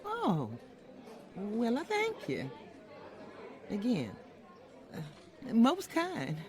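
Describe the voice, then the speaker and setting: An older Southern housekeeper and grandmother, played by a woman, with a folksy Southern US accent. Her pitch swings widely as she speaks. She is polite and measured but plainspoken, wry and firm, in an old-time radio drama style. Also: huge pitch swings, darkish tone, some chatter behind, full character voice. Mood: deferential.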